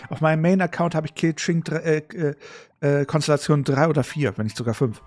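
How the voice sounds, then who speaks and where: A man's voice: fairly high pitch, steady tone, fast talker, a little mellow. A man giving casual, offhand gaming commentary as a streamer, with a blunt way of talking. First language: German. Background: game music.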